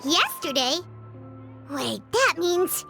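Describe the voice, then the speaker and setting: A woman's voice: very high voice, sing-song, slow, vocal fry, pushed and bright, heavily acted. A woman voicing a small, earnest, childlike female creature in a video game, eager and full of questions. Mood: thoughtful. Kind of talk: scripted video game dialogue. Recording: music behind the voice.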